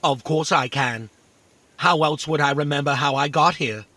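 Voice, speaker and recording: An actor playing a small, talkative robot in a kids' audio drama, literal, fussy, indignant and boastful. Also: male voice, a little high, normal speed, pushed and bright, dry recording. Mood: proud, matter-of-fact. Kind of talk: scripted children's audio fiction acting.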